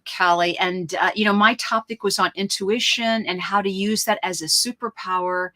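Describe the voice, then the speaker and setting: A woman talks in a bright, forward voice as a radio co-host. Her delivery is casual and upbeat, full of fillers and restarts as she chats with her partner. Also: fairly high pitch. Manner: chatty, upbeat, frequent fillers. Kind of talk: casual talk show chat.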